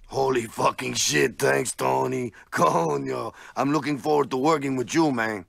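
A gravelly pawn shop owner. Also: male voice, medium pitch, unhurried, fairly bright tone, exaggerated voice. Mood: anxious.

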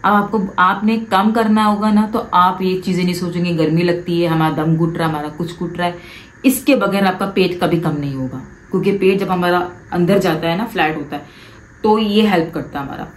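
A woman's voice: on the low side, a little fast, reverberant, slight background noise, low-quality recording. A woman, giving direct, coaxing advice to viewers in a casual vlog from her living room. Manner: animated, gestures a lot with both hands. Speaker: vlogger. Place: living room, seated on a sofa.

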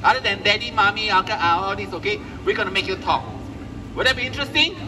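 A man's voice: high-pitched, fast talker, far from the mic, noisy background. A middle-aged man doing live puppet show patter, giving short questions and instructions to the crowd over an outdoor stage PA. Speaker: children's ventriloquist entertainer. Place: outdoor festival stage at night.

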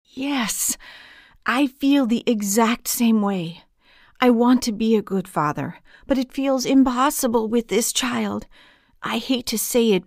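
Woman voicing anguished young father, gravelly edge. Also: on the high side, darkish tone, dry recording, studio quality. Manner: anguished, urgent, confessional. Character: distraught young father confessing dread of his baby son.

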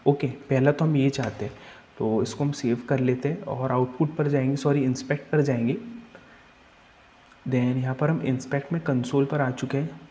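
A young man with a mellow, relaxed tone talks through code at his desk, explaining each step as he types. Soft keyboard clicks come through now and then. His delivery is steady and patient, like a tutor thinking aloud. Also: mid-range voice, normal speed, muffled recording. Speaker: coding tutor. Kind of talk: screen-recorded coding tutorial.

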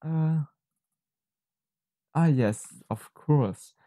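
A man presenting an unscripted software tutorial, explaining step by step in a halting, hesitant way. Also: fairly high pitch, no room sound, phone-quality sound.

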